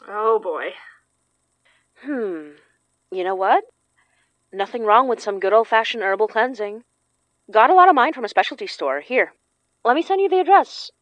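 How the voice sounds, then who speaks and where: Actress as a laid-back magic practitioner, dry sound. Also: female voice, on the high side, expressive, normal speed, pauses often, dry recording, natural voice, poor audio. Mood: musing, helpful. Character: seasoned, casual woman who practises protective magic. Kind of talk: naturalistic audio drama acting.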